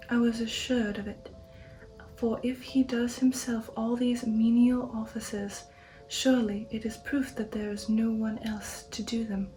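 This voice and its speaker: A woman speaking in a soft, breathy ASMR whisper, hushed and measured, as the host of a reading channel. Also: a little high, rough recording.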